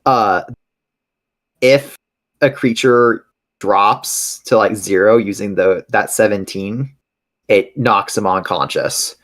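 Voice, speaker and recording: A man's voice: fairly low pitch, takes it slowly, a bit bright. A man playing a tabletop game, casually explaining rules while hesitantly thinking aloud.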